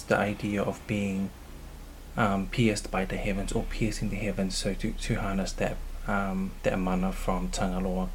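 New man, cultural leader, fairly low voice, measured and hesitant with ums, faint room hum behind. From New Zealand. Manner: thoughtful, hesitant, frequent um fillers. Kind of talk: interview answers.

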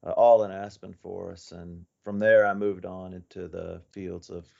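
Male research guest, low voice with heavy vocal fry, informal interview talk, muffled recording. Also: level tone, moderate pace, darkish tone. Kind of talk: conversational podcast interview.

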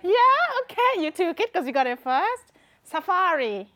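A woman's voice: very high-pitched, very animated, measured pace, pushed and bright. A woman with a Kenyan accent giving a conference talk in a church and running a word game with the audience, teasing and laughing often. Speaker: conference speaker. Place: church hall with live audience.

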